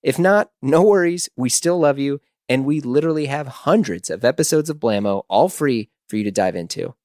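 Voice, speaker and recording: A man's voice: mid-range voice, lively intonation, moderate pace, darkish tone, no room sound. A man hosting a podcast, reading a chirpy, informal promo and introduction to listeners. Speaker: podcast host. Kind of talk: scripted promo and episode introduction.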